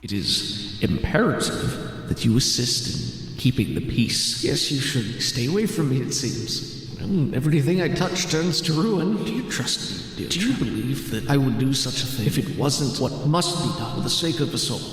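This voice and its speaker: A man's voice: medium pitch, very animated, moderate pace. Guy doing a haunted old grandpa in an rpg, pleading and guilt-ridden, huge reverb, music under it. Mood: grave, insistent. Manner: pleading, fragmented, urgent. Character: anguished guilt-ridden grandfather, a purple apparition in memories. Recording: cavernous echo and long reverb over music.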